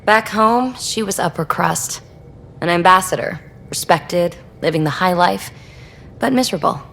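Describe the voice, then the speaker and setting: A woman's voice: on the low side, unhurried, gravelly, a bit bright, slight background noise. A woman playing a wry, flirty crew member of a space expedition in a video game, with a breezy, conversational delivery. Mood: reflective, guarded. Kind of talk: video game dialogue acting.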